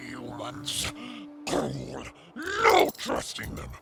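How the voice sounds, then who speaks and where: A man voicing an excitable, fierce beaked alien mercenary in an audio drama, croaking and squawking short exclamations in broken speech. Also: mid-range voice, drawn-out words, over music, exaggerated voice. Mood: bitter, fierce.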